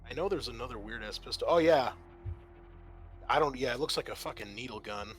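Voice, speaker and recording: A man's voice: medium pitch, brisk, music in the background. A man working as game master, casually narrating scenes and talking through rules with some swearing.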